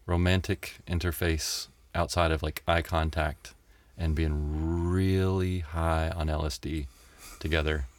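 An American man telling stories casually as an interview guest, with a wry, deadpan way of joking. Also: rumbling low, a little slow, dry recording. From Tennessee and Mississippi, United States. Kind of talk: casual conversational storytelling.

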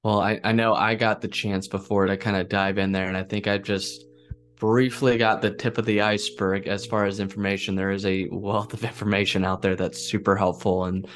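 A man's voice: lowish voice, little pitch movement, brisk, faint music behind. A man hosting a podcast in a casual, rambling and appreciative conversational way. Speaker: podcast host.

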